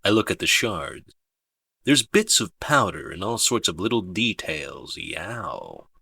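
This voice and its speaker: Slow, low-voiced male audiobook narrator. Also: big pitch swings, vocal fry, sharp tone, breathy edge, no room sound. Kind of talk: first-person scripted narration.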